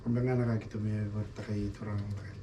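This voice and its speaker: Male co-host, low monotone, very dark timbre, distant mic. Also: fast. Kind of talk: radio talk show. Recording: distant mic with faint noise.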